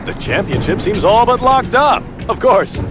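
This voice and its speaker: Flamboyant, wildly swooping racing TV anchor. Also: male voice, high-pitched, very animated, moderate pace, over music. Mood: callous. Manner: smug, showy. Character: flamboyant, callous male racing TV anchor hungry for ratings. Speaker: race show host. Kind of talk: broadcast announcer patter.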